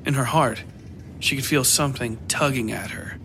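A man reading dark fiction as a scripted narration. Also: mid-range voice, normal speed, a little hiss or hum.

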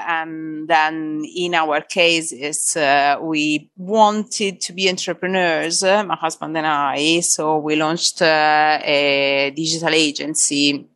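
A middle-aged woman from Italy with an Italian accent, speaking as a podcast guest in a halting way, with many fillers and restarts. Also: sits low, steady tone, fairly bright tone, smooth. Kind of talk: conversational interview.

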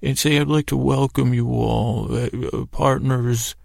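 Man, heavy vocal fry, gravelly, halting and meandering delivery, repeated words, bedtime podcast monologue, dry close recording. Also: medium pitch, steady tone, darkish tone, no room sound. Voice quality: gravelly, creaky. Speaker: podcast host. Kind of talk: rambling bedtime sleep-story monologue.

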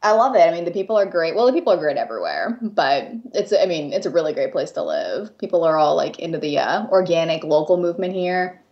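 A woman's voice: on the low side, sing-song, fast, few pauses, muffled recording. A woman in her twenties with an American accent, who grew up in Japan, chatting as a guest artist in a friendly way, laughing easily and often saying um. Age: 20s. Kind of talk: casual interview chat.

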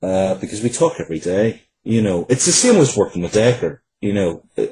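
A man giving a casual interview as a writer, in a halting, conversational way. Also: lowish voice, very animated, warm tone, slightly rough, low-quality recording.

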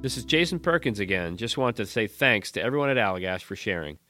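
A man's voice: on the low side, lively intonation, quick pace, over music. A man presenting a scripted advert read.

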